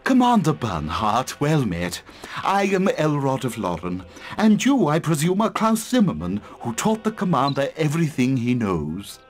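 Courteous elf envoy, lively intonation. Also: male voice, mid-range voice, moderate pace. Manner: formal, portentous. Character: courteous, solemn male elf envoy of the forest. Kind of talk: video game voice acting. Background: music.

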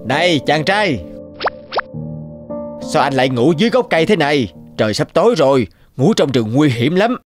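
A man's voice: on the high side, sing-song, moderate pace, soft background music. A man voicing a genial but shrewd stout merchant in a cartoon. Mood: concerned.

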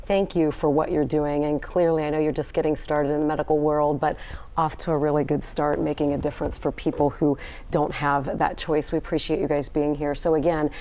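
A woman news anchor with a notably low voice speaks in an upbeat, emphatic studio manner. She is middle-aged and miked with a clip-on lapel mic in a TV studio. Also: level tone, fairly quick, dark tone. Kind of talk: live studio interview.

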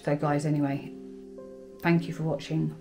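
Woman, 30s, paranormal vlogger, lowish voice, coaxing spirits then shaken, close handheld camera, music bed. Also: flat delivery, normal speed, gravelly. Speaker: paranormal investigator. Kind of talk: ghost-hunting vlog narration. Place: dark bedroom of an abandoned farmhouse.

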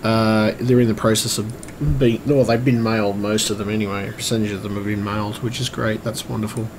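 A man with a croaky, strained voice, giving a casual, hesitant, rambling update like a vlogger. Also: on the low side, fairly even intonation, brisk. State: strained voice, throat-clearing. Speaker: vlogger and computer repairer. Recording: clearly noisy room recording.

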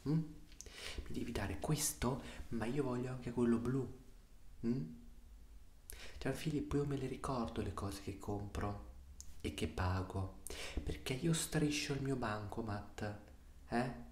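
A young man playing a stern, exasperated father in a soft-spoken ASMR roleplay, hushed and often slipping into whispers. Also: mid-range voice, slow, long pauses, close to an everyday voice. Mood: stern, demanding. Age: 20s. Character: stern, exasperated, sarcastic Italian father scolding his son. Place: small room indoors. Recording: roomy echo, faint hiss.

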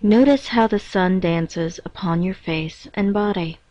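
Very slow woman guiding a meditation. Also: mid-range voice, dark tone. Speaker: guided meditation reader. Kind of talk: slow scripted guided meditation narration. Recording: dull, roomy echoing lo-fi recording.

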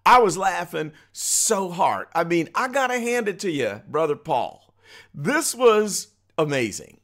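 Male American commentary host, middle-aged, slangy, very lively intonation. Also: sits high, measured pace. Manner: animated, slangy, amused. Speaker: political commentary video host. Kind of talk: casual reaction commentary.

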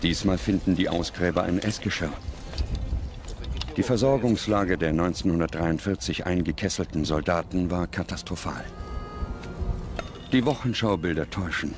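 A man reads scripted documentary narration in a measured, serious manner. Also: low voice. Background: music.

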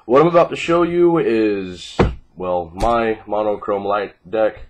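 Groggy, deep-voiced young man. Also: unhurried. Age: 20s. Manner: laid-back, sweary. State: groggy, congested from fighting off a cold. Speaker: card game player presenting a deck. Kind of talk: casual video deck profile, unscripted. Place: small living room at home, indoors. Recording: noisy home webcam recording with clicks.

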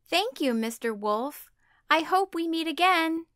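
A sweet, trusting little girl from a fairy-tale puppet show, voiced by a woman. She speaks high and slowly, with polite, sing-song innocence that swoops up and down. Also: expressive, a bit bright, dry recording, clean studio sound, exaggerated voice.

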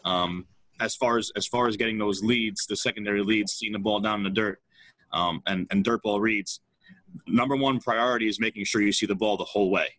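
Fast-talking coach on a video call. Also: male voice, lowish voice, steady tone, fairly bright tone, dry recording, rough recording. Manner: fast, emphatic, restarts often. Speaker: baseball coach. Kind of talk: video call panel discussion. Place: indoor office with ball caps on wall. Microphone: video call webcam.

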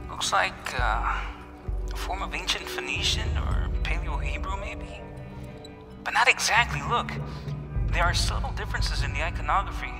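An actor playing a wary, bookish soldier in an audio drama, by turns sarcastic and earnest. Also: male voice, sits high, expressive, moderate pace. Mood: fascinated. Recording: long hall reverb, music underneath.

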